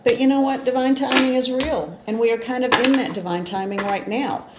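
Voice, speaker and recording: Gravelly-voiced woman in an echoey room. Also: a little high, a little fast. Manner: meandering, earnest, gentle encouragement. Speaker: spiritual channeler and card reader. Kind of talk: informal spiritual card reading to camera. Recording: echoey room with faint noise.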